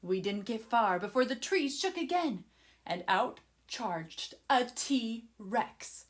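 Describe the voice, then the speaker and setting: A woman voicing an excited, adventurous young boy who eagerly and dramatically narrates a children's storybook to his listeners. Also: on the high side, slow. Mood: dramatic suspense. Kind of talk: children's storybook read-aloud.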